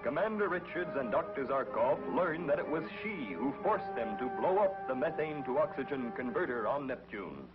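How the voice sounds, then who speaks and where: A man's voice: medium pitch, animated, moderate pace, hardly any pauses. A man giving a formal scripted recap narration for a 1950s TV drama. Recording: dull 1950s TV soundtrack with loud music.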